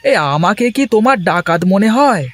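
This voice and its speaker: An actor voicing a poor village fisherman in a cartoon, cheerful and boastful, with a husky voice. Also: high-pitched, expressive, normal speed, no echo. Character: poor village fisherman turned eager trader. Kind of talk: Bengali cartoon voice acting.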